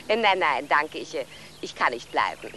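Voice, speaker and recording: A woman's voice: low-pitched, very animated, a little slow, pushed and bright, a little hiss or hum, exaggerated voice. A woman playing a nosy village lady who coaxes and chats in a German-dubbed children's film. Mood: chatty, affected. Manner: chatty, coaxing, chuckling.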